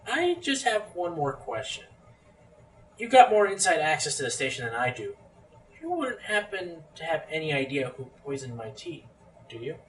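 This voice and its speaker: A man's voice: a little high, sing-song, normal speed, fairly bright tone, far from the mic, poor audio. A man in a radio play voicing an earnest, exasperated pony radio host playing amateur sleuth. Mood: earnest, puzzled.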